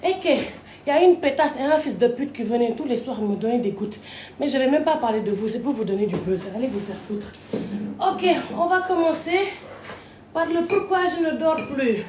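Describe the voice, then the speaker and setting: A woman's voice: high-pitched, expressive, normal speed, a little mellow, a little hiss or hum. A woman from Côte d'Ivoire ranting in a rambling, crude way, swearing as she tells a long personal story. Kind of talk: rambling live rant. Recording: roomy echo.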